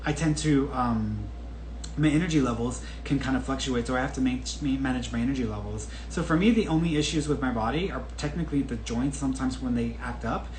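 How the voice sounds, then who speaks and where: A man's voice: mid-range voice, brisk, darkish tone. A man in his thirties, a podcast host answering a viewer's question in a casual, candid, chatty way. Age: 30s. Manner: chatty and candid, with frequent restarts and laughs. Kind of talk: casual solo talk. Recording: echoey room with faint background noise.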